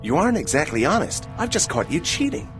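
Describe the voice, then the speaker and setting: A cheeky robot reporter in a video game. His voice is fairly high and swings widely in pitch, glib and inquisitive, with faint music behind. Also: sing-song, brisk. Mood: cocky, glib. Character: cheeky, eager young robot reporter, male. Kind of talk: scripted game dialogue.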